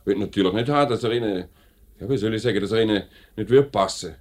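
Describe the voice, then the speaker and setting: An actor playing an assured, plain-spoken company director with a Swiss German accent in a 1950s radio drama, brisk and authoritative. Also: male voice, on the low side, a little mellow, lightly acted. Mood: firm, frank.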